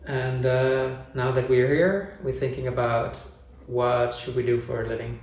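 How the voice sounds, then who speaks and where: Gravelly man in his forties, echoey room. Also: mid-range voice, steady tone, a little slow, a little mellow, distant mic. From Denmark. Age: 40s. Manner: plain, thinks aloud with restarts. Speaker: family vlogger. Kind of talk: casual chat.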